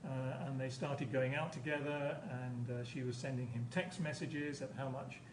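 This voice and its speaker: A man's voice: mid-range voice, steady tone, moderate pace. A man giving an academic conference lecture as a professor, explaining hesitantly and often repeating his words. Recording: echoey room, distant mic, background noise.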